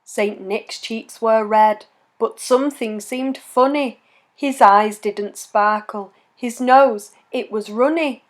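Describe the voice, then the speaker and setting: High-voiced woman reading a children's story. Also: slow. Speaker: children's story reader and channel host. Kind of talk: expressive read-aloud of a rhyming picture book.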